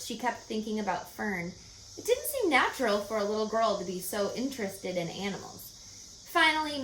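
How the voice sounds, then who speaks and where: A woman's voice: medium pitch, a bit bright. A woman reading a children's story aloud as scripted narration. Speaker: audiobook reader. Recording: echoey room, faint background noise.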